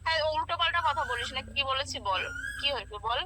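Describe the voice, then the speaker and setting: A woman's voice: sits high, animated, fast talker, background noise. A woman on the phone in a heated informal argument, defending herself indignantly and repeating herself. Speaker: friend receiving a prank call.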